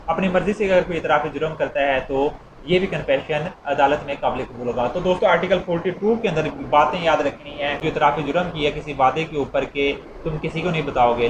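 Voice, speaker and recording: A man teaches in a conversational, repetitive way. His voice sits a little high. The room rings with echo, and there is clear noise behind him. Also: muffled recording. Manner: repetitive, conversational teaching with acted-out everyday examples. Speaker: law lecturer. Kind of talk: informal explanatory lecture with illustrative examples.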